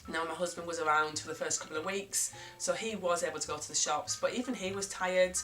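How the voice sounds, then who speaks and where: A young woman with a croaky voice from a cold, talking gently and reflectively to camera in a casual vlog. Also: lowish voice, fairly even intonation, fast talker, faint music behind, low-quality recording. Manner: gentle, reflective, repeats herself. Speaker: parenting vlogger.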